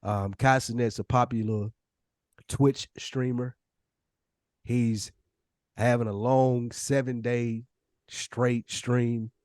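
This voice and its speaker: A man's voice: mid-range voice, a little mellow, dry recording, studio quality. A forty-year-old American man hosting a casual podcast, talking in a slangy, conversational way. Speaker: podcast host.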